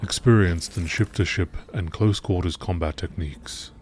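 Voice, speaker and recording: A man narrates scripted log entries in a formal, measured manner. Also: low voice, darkish tone, a little hiss or hum.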